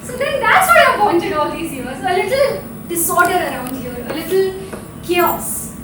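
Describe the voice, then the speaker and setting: Stage role: wife hungry for wildness, notably high voice, searching delivery, heard from afar in a roomy theatre. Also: female voice, sing-song, unhurried, noisy background, full character voice. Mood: wistful. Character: restless wife craving chaos and wildness. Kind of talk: live stage play acting. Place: theatre stage, live performance. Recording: very echoey theatre, distant mic.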